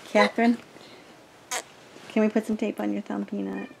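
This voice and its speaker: A woman's voice: mid-range voice, flat delivery, moderate pace, gravelly. A middle-aged woman chatting casually to her children, relatives and the camera as a family vlogger. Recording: noisy handheld home-video recording.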